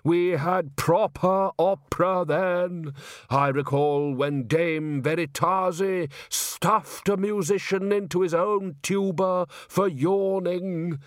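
Man as a wistful, slow old music master. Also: high-pitched, dry recording, clean studio sound, voice pushed up. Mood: wistful, nostalgic. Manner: slow, mournful reminiscing. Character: wistful old male opera music director.

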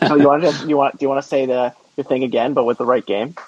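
A man's voice: mid-range voice, fairly even intonation, racing, a little mellow, slightly rough, dry recording. A man co-hosting a casual sports podcast with laid-back, teasing banter, on slightly dull, lo-fi audio. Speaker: podcast co-host.

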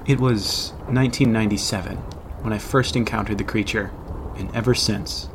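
A man acts out a haunted, regretful man telling his horror tale. His voice is low and creaky, his delivery solemn and ominous. Clear storm noise runs behind this audio drama. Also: steady tone, lightly acted. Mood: grave, haunted. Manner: deliberate, solemn, ominous storytelling. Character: haunted grown man recounting a teenage horror, grave, regretful.

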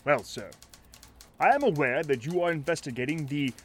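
A man's voice: on the high side, lively intonation, moderate pace, a bit bright, breathy edge. A man playing a courtly, eloquent shantytown mayor with formal, flowery politeness in a 1930s radio drama. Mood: courteous, grave.

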